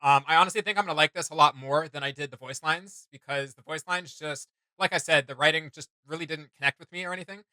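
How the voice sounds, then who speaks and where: A man's voice: on the high side, fast, hardly any pauses, sharp tone, no echo. A man hosting a reaction video, chatting casually and giving blunt, emphatic opinions with a teasing edge.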